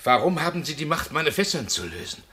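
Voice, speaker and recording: A man's voice: a little high, big pitch swings, fairly quick, sharp tone. An actor playing a condemned man who pleads his innocence from his cell. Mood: bewildered. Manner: impassioned, crying out his innocence. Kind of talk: radio drama acting.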